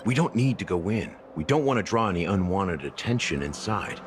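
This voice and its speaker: An actor playing a weary, regretful officer in a video game, speaking gravely and deliberately with dramatic pauses. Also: male voice, low voice, very animated, background noise. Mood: guarded, low-key. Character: weary, world-worn male officer haunted by regret. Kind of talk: English video game voice acting. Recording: dramatic music behind the voice. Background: loud background music.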